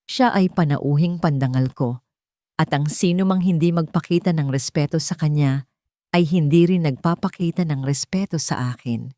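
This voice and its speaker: A woman narrator playing a dignified billionaire in a romantic audio drama. He speaks calmly and firmly, with protective weight, in a dry recording. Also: low voice, normal speed, no echo, close to an everyday voice. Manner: calm, weighty, firm.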